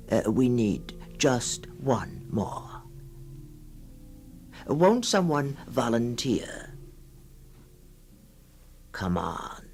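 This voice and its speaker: An actor playing a sly séance host introducing a radio horror anthology, hushed, sinister and teasing, with hesitant phrasing. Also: male voice, on the low side, exaggerated voice. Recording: 1960s radio drama with faint music.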